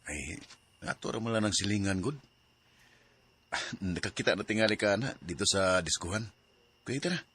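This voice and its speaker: A man's voice: lowish voice, animated, normal speed, pauses often, noisy background, close to an everyday voice. An actor playing a persistent, pleading young male suitor in a Philippine radio drama. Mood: eager.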